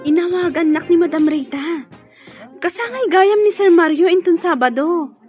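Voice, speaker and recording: A woman acting a cheerful, excitable young household helper in a radio drama. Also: very high-pitched, moderate pace. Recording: muffled radio drama, faint music behind.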